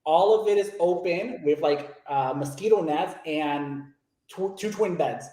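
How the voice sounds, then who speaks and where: A man's voice: on the high side, measured pace, mellow. Chatty man with an echoey sound. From Miami, Florida. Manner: chatty, laughs easily, storytelling. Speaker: guest, loan originator. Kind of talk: casual video chat interview. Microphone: video call.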